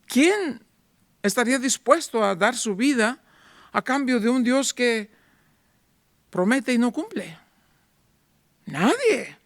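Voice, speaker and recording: Man, pastor, sermon, high voice, dry recording, rhetorical questions. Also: sing-song, takes it slowly, pauses often, no room sound. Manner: rhetorical questions, voicing doubting listeners.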